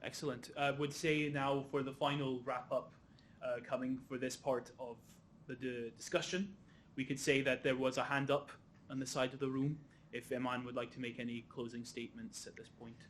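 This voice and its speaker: A young man with a slight Scottish accent moderating a panel discussion, speaking in measured, formal phrasing with repeated intensifiers. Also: medium pitch, level tone, a little hiss or hum. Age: young adult.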